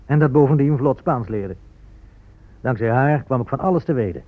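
An actor playing a self-satisfied, gold-hungry Spanish conquistador in a radio drama, speaking in a blunt, matter-of-fact way. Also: male voice, medium pitch, expressive, moderate pace, natural voice. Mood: matter-of-fact, boastful. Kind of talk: radio drama monologue and dialogue. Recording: muffled phone-like old radio play.

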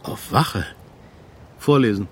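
An actor playing a stern, suspicious middle-aged army captain in a radio drama, with a husky, gravelly voice and an officious, sardonic way of giving orders. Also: male voice, on the low side, animated, takes it slowly, fairly bright tone, exaggerated voice. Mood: suspicious, menacing. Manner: clipped commands, officious and sardonic. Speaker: company commander.

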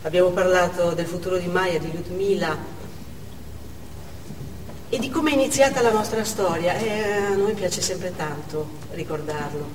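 A woman playing a devoted, excitable working mother in a chatty theatrical monologue. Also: lowish voice, moderate pace, natural voice. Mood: chatty, fond. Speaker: stage actor. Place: indoor theatre stage, recorded from afar. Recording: distant, echoey hall with noticeable noise.

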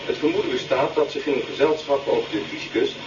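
A man's voice: medium pitch, fast talker. A man acting a formal, impersonal newsreader heard through a radio set in a radio drama. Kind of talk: formal scripted news bulletin within a radio drama. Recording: muffled distant radio sound, clear hiss and noise.

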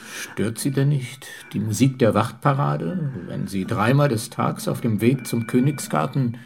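A man's voice: medium pitch, a little slow, creaky, a little mellow. An actor playing a polite, deferential male neighbor in a radio drama.

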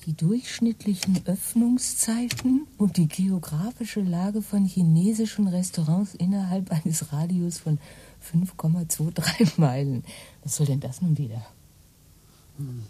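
An actress playing a puzzled, irritated woman reading out odd data in a husky voice in a radio play. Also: lowish voice, a little slow, dark tone.

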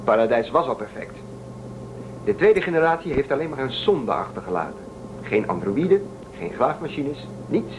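A man's voice: medium pitch, big pitch swings, normal speed, noisy background, natural voice. A man playing a defiant, suspicious spaceship crewman in a radio play, urging and persuading. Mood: insistent. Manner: urgent, persuasive, suspicious of authority.

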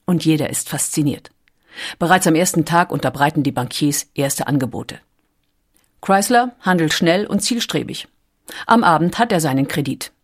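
A narrator giving scripted documentary narration with an even, steady reading. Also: medium pitch.

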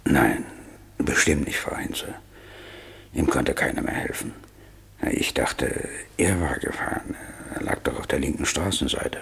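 An actor playing a railway crossing keeper in a radio play, gruff and husky, speaking haltingly and soothingly to a widow. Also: male voice, fairly bright tone, heavily acted. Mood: subdued, consoling. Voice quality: gravelly, husky. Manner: soothing, halting, low-voiced.

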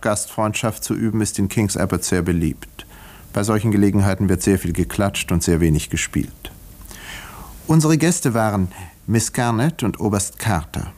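An actor in a 1950s German radio play playing a sober, reserved village doctor, with measured, dry, understated delivery. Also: male voice, fairly low pitch, a little mellow. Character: sober, reserved male village doctor who narrates dryly.

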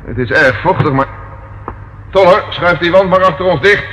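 An actor playing a resolute, level-headed police detective in a Dutch radio play, speaking in a clipped, commanding way. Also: male voice, sits high, normal speed, pushed and bright, muffled recording. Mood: brisk, businesslike. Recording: noisy old radio drama recording.

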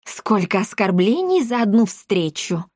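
A woman's voice: mid-range voice, very animated, unhurried, a little mellow, no echo, clean studio sound. A woman voicing a hefty orphanage matron in a storybook, easily offended, indignant and combative. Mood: angry threats.

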